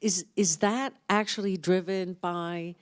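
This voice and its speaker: A woman in a conference audience asking an earnest question, hesitant with many restarts, and with a loud voice. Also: on the low side, fairly even intonation, slow pace. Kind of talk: public Q&A question.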